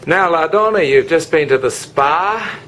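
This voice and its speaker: A man's voice: fairly high pitch, animated, a little slow, pushed and bright, slight background noise. A man filming his cruise, chatting and joking as he interviews fellow passengers. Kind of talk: casual travel vlog narration.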